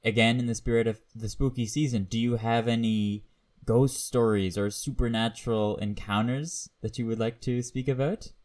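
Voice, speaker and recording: A man's voice: medium pitch, steady tone, normal speed, darkish tone. A man hosting a podcast interview in an informal, agreeable way with slightly hesitant phrasing. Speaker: podcast host. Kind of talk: casual interview.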